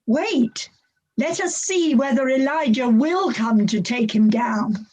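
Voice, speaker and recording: Curious bystander for scripture drama, female reader: high-pitched, unhurried, declamatory. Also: expressive, darkish tone, exaggerated voice. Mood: expectant. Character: curious bystander at an execution, calling others to watch.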